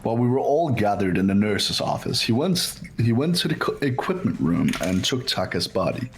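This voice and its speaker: A haughty, disdainful male student from a mystery game, acted out by a man. The voice is fairly low, with music playing behind it. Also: big pitch swings, moderate pace, rough recording. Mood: explaining. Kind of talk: scripted game dialogue read aloud by fans. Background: background music.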